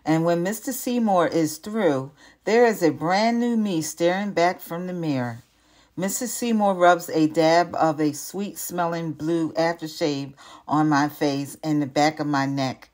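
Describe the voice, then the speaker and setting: Low-voiced older woman reading aloud. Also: measured pace, a little mellow. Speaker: storyteller, retired teacher. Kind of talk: children's picture book read-aloud.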